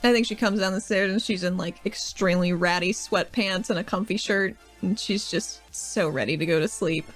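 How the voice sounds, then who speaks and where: A woman playing in a tabletop role-play podcast who talks in a giggly, animated way. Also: medium pitch, moderate pace, few pauses, faint music behind. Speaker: player and co-host.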